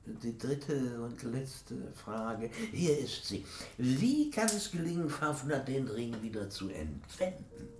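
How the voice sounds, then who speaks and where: An actor playing a wary, grumbling, sly dwarf smith in a fantasy radio drama, hesitant and muttering. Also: male voice, mid-range voice, very animated, slow. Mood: scheming. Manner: hesitant, muttering, with stammered hums. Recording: roomy echo with faint noise.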